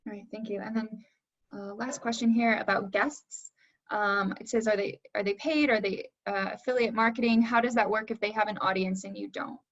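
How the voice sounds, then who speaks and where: A woman's voice: medium pitch, fairly even intonation, moderate pace. A woman casually moderating a panel and putting questions to guests over a lo-fi video call. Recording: dull, lo-fi video call audio.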